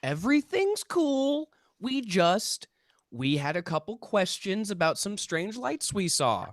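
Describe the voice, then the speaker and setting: A performer plays a young male amateur investigator in a drama table read. The delivery is earnest and persuasive, swinging widely in pitch. The sound is dry and close. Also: big pitch swings, slow, dry recording. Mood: placating, nervous.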